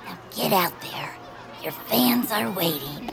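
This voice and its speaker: A woman playing an earnest, excitable young bird in an audio fiction cartoon, with a rambling way of talking. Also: on the low side, takes it slowly, vocal fry, heavy background noise, heavily acted. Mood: warm, urging.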